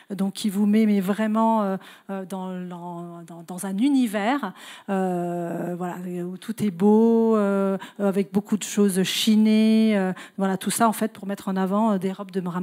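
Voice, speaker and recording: A woman speaks as a guest presenter at a conference. Her pitch sits in the middle range. She moves forward haltingly, hesitating and restarting phrases as she explains. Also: a little mellow. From Italian-German, grew up in several countries. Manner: hesitant, halting delivery with frequent self-corrections. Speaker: guest speaker, retail consultant.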